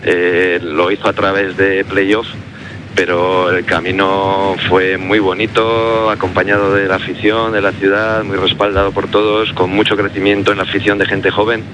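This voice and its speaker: A middle-aged man who is a guest on a radio interview, answering in a measured, polite, somewhat hesitant way. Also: sits low, little pitch movement, background noise.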